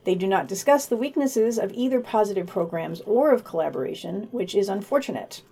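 Mellow-voiced woman reviewing for a podcast. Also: medium pitch, expressive, brisk, husky. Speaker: podcast host reading news and reviews. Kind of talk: scripted reviews with commentary.

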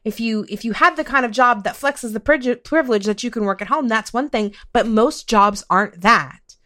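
A woman's voice: a little high, normal speed, bright, forceful tone. A woman in her late forties talking casually but emphatically as a co-host in a podcast discussion. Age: late 40s. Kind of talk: casual conversation on politics.

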